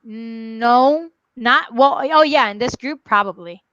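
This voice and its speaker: High-voiced young woman from Puerto Rico, dull audio. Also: takes it slowly, a bit bright, no echo. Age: late 20s. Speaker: co-host of a book live stream. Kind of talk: casual group chat. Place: indoor room at home, on a video call. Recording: dull, lo-fi video call audio.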